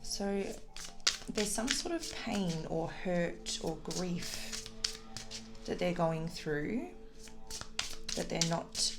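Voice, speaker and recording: A woman's voice: on the low side, lively intonation, drawn-out words, creaky, pauses often, over music, low-quality recording. A woman giving a casual, intuitive tarot reading to viewers, talking in a tentative, reflective way with trailing phrases. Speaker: tarot reader and channel host.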